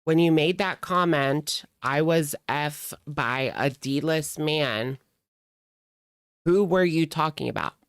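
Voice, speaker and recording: Slow-paced, gossipy commentator with a clear voice. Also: mid-range voice, dry recording, studio quality. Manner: gossipy, sardonic, conversational. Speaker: commentary streamer reacting to videos and chat. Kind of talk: casual reaction commentary.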